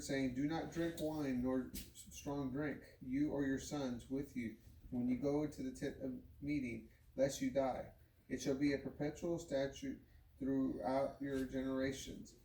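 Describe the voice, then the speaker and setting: A man reads scripture aloud in a halting way, stumbling over words and starting them again. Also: medium pitch, creaky, warm tone. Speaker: Bible reader. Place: home living room. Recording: roomy, distant mic, faint noise.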